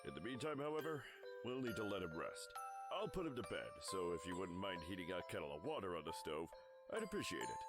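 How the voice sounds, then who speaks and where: A man's voice: sits low, very animated, fast. A man voicing an easygoing, wry middle-aged father in a video game. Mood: calm. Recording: clear music under the voice.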